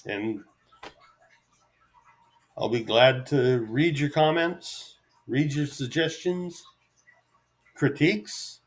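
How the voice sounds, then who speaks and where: A middle-aged man talks off the cuff to his camera in an average-pitched voice. His delivery is easygoing and halting. He gives an unhurried, self-deprecating ramble rather than a polished script. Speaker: vlogger. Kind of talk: unscripted solo ramble to camera.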